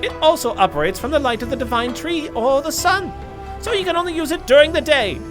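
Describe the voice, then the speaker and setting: A man's voice: very high voice, expressive, fairly quick, music in the background, voice pushed up. A man voicing a proud, solemn elder of a sea people in a video game, speaking formally and grandly. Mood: solemn, explanatory.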